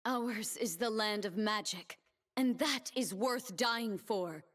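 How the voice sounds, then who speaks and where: A young female blade dancer for a video game. She speaks slowly and solemnly, with earnest resolve. Every line is measured and determined, the voice of a fighter devoted to her cause. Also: a little high, a bit bright. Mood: solemn, resolute. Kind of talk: scripted video game character voice lines.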